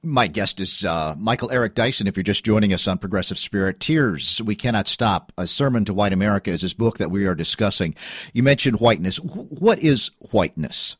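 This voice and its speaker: A man hosting a radio interview show, asking halting, searching questions. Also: fairly low pitch, lively intonation, breathy edge, no echo, clean studio sound.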